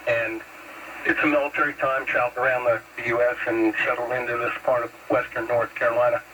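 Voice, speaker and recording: A noisy, distant CB radio transmission carries the voice of a middle-aged American man. He talks in a casual, storytelling way at a medium pitch, like one operator chatting to another. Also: little pitch movement, quick pace. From Pennsylvania, United States. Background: radio static.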